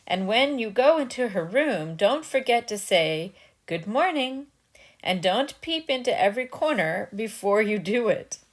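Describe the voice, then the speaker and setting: A woman voicing a kindly storybook mother who carefully instructs and gently admonishes her child. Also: medium pitch, lively intonation, measured pace. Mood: gently instructing.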